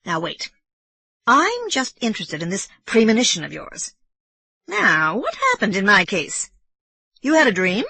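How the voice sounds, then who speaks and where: A suspicious, irritable middle-aged man, as a woman reader puts him on, lightly voiced in a husky, dry tone. He questions sharply, and his pitch swings widely when he presses. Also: huge pitch swings, a little slow, long pauses, no room sound, heavily acted. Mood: agitated. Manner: sharp, interrogating.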